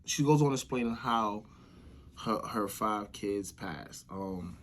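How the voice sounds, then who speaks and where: A man's voice: fairly low pitch, slow pace. A man with an American accent giving casual spoken commentary as the host of a vlog. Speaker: vlogger hosting commentary show.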